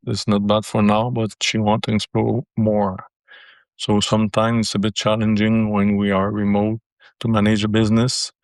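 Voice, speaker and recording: An older man from Quebec speaks English with a Québécois French accent, his phrasing careful and a little halting. His voice sits low and stays level, recorded close and dry. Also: dry recording. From Quebec, Canada. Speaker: business seller. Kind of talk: interview answers.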